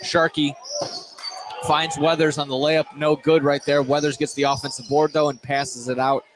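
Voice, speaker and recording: Man calling basketball over clear gym noise. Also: a little high, level tone. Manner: halting, often restarts and repeats phrases. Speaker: play-by-play basketball commentator. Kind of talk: live sports radio commentary.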